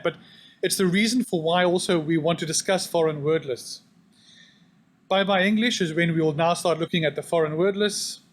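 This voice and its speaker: A man giving a technical talk at a conference, brisk and dotted with uhs and restarts. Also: high voice. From South Africa. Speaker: conference presenter. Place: conference hall.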